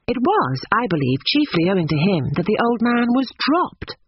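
A man voicing an earnest, high-pitched young lady. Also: very animated, moderate pace, husky, lo-fi sound, exaggerated voice. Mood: indignant. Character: earnest, spirited young upper-class woman. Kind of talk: audiobook character dialogue.

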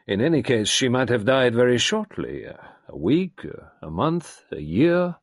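A man voicing an earnest middle-aged French doctor who speaks haltingly and thoughtfully. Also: medium pitch, very animated, dry recording, studio quality, pitched above the performer's own voice. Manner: halting, thoughtful, with hesitant fillers.

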